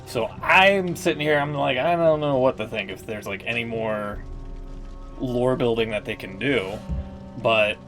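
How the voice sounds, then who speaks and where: A man's voice: mid-range voice, measured pace, fairly bright tone. A man streaming a game, reading lore passages aloud and breaking off to react casually and swear freely. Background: game music.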